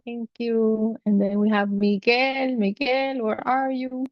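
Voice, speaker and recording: A woman's voice: on the high side, level tone, slow pace, no room sound, muffled recording. A woman hosting an online panel over a video call, introducing each speaker in turn. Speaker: panel host.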